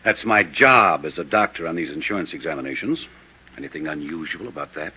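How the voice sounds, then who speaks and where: Casting: prickly, evasive physician for 1950s radio drama, deep, sarcastic, old recording with faint noise. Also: male voice, expressive, brisk, muffled recording. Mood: testy, sarcastic. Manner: curt, dismissive, sarcastic. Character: testy, evasive male insurance doctor.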